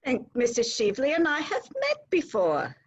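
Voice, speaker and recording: A woman's voice: sits high, wildly swooping pitch, normal speed, husky, exaggerated voice. A woman playing a polite, cool society hostess in a period stage comedy, on a slightly dull microphone.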